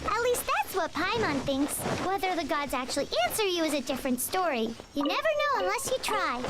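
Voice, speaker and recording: A woman's voice: very high-pitched, sing-song, brisk, very noisy. A woman voicing a small, chirpy, eager fairy guide in a video game, bubbly and childlike. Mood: confident, explaining. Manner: refers to self in third person, bubbly. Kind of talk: video game character acting. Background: loud game music.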